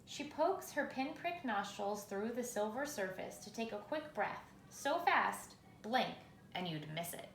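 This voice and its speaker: A woman reading a children's picture book aloud in a measured, expressive storytelling manner, with pauses for effect. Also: a little high. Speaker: reader. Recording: roomy echo, faint background noise.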